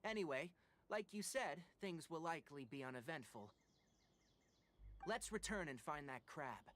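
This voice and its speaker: An actor playing a calm young male forest ranger mentor in a video game, speaking in a measured, articulate and dryly self-assured way. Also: a little high, slight background noise. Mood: dry, composed.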